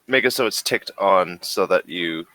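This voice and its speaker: A man running a tabletop role-playing session as game master, talking in a relaxed, halting way with many fillers. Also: low-pitched, dry recording, poor audio.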